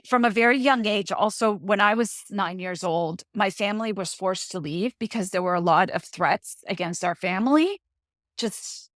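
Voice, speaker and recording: A woman's voice: mid-range voice, fairly bright tone, no room sound, clean studio sound. A middle-aged woman from Colombia, a guest author talking through her work in a reflective way, often restarting as she searches for words. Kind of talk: interview conversation.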